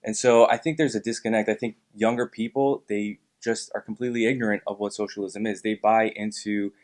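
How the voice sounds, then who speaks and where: A young man from Miami answering interview questions in a measured way, with frequent ums and uhs. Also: lowish voice, steady tone, darkish tone. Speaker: guest, political club officer.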